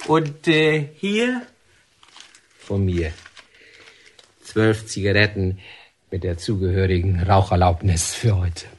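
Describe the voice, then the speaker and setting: A well-meaning, avuncular warder in a radio drama. His voice is lowish and raspy, rising and dropping freely as he chats and muses in a naturalistic way. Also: lively intonation, slow pace, long pauses, exaggerated voice. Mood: congratulating, musing. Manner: hesitant, musing, conversational.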